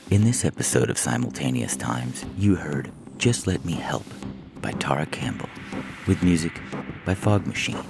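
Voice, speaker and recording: A man reading scripted narration as a story podcast's reader, in a theatrical, deliberate manner. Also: extremely low pitch, lively intonation, vocal fry. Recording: music behind the voice.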